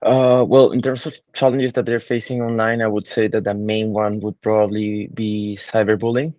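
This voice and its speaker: A man's voice: on the low side, flat delivery, moderate pace, darkish tone, no room sound, dull audio. A man in his twenties from Peru, a digital marketing consultant answering interview questions thoughtfully, with many fillers and restarts. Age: 20s.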